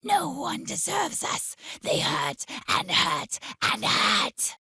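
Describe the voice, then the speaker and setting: A woman voicing a menacing monstrous voice inside a girl's head, breathy and commanding, in a horror audiobook. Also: sits high, huge pitch swings, slow pace, pushed and bright, no echo, studio quality, voice pushed up, full character voice. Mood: ferocious.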